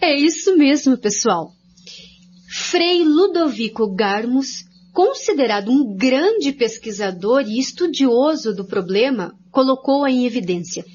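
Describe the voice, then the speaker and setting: A woman's voice: high-pitched, animated, unhurried, a little mellow, slight background noise. An actress playing an earnest woman expositor in a scripted radio drama, laying out points one by one in a didactic way. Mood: explaining, assured. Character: woman researcher Jacira, earnest, didactic study-group expositor. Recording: dull, lo-fi recording.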